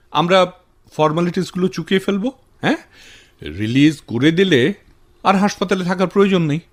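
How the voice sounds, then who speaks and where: An actor playing a considerate, practical male relative who talks politely and reassuringly in a Bengali radio drama. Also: high voice.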